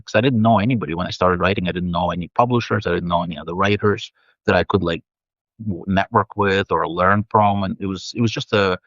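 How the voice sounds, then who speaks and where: A man's voice: lowish voice, dry recording, dull audio. A man whose first language is Russian, from the Soviet Union, a guest chatting thoughtfully on a video call with many fillers and restarts. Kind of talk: casual interview chat.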